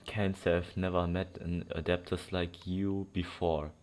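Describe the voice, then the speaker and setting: A man's voice: low-pitched, flat delivery, a little slow, darkish tone. A man giving casual, unscripted playthrough commentary as a game streamer, sometimes reading dialogue aloud. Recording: clear game music behind voice. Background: game music.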